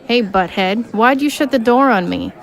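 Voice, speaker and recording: A woman's voice: on the high side, moderate pace, vocal fry, some chatter behind. An actress playing a bossy, hostile female prison guard, sarcastic and snappish, in an audio drama. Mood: scornful.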